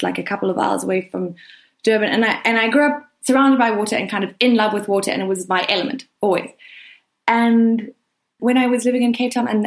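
A woman's voice: mid-range voice, creaky. A woman with a South African accent talking earnestly and emphatically in a conversational interview, often padding her points with hedging phrases. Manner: earnest, emphatic, hedging with frequent "you know" and "kind of". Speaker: interview guest, writer. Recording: roomy, echoey sound.

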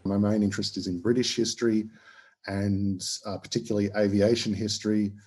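Australian male historian, dark mellow voice, hesitant and full of fillers. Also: fairly low pitch, little pitch movement, fairly quick, husky. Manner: hesitant, many ums and restarts. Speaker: historian guest. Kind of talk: explaining in an interview.

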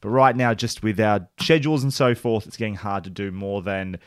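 A man hosting a casual TV review podcast, his voice croaky and worn from yelling. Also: fairly low pitch, a little fast, no echo, clean studio sound. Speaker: podcast host.

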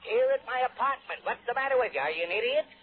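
An actor playing a cranky, imperious old millionaire in a radio drama, blustering and impatient over the telephone. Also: male voice, very high-pitched, expressive, quick pace, a little hiss or hum. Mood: impatient, bossy. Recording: tinny phone-like old radio recording, faint music.

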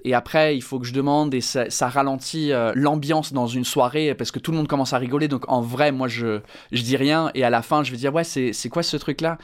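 Englishman speaking French with English accent. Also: mid-range voice. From England. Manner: hesitant, many fillers and restarts. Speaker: stand-up comedian. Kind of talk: interview answers.

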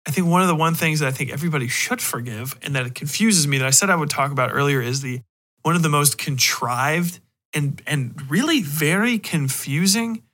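Guy podcaster kinda high sharp voice, rambly opinionated chat, swears a lot. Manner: profane, emphatic, rambling. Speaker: podcast host. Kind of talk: casual opinionated discussion.

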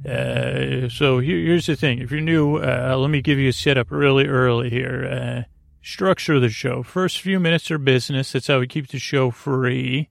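A man hosting a sleep podcast with a creaky voice, rambling gently and haltingly through soothing, slightly silly tangents. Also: medium pitch, steady tone, no room sound, clean studio sound. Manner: meandering, halting, frequent tangents. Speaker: podcast host. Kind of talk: rambling bedtime monologue to lull listeners to sleep.

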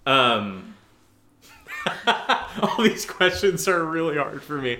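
A man's voice: fairly high pitch, very animated, slow, pushed and bright, a little hiss or hum. A man chatting casually as a guest on a podcast. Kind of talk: casual chat. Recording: echoey room.

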